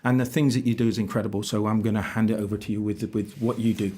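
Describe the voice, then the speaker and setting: A man's voice: on the low side, level tone, fast, a little mellow, a little hiss or hum. A man hosting a podcast, chatting casually and often stumbling and repeating his words. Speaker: podcast host. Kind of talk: casual interview chat.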